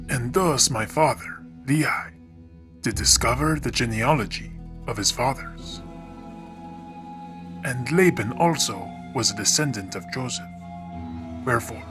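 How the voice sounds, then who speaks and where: A man narrating scripture from a script in a solemn, measured, deliberate manner. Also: mid-range voice.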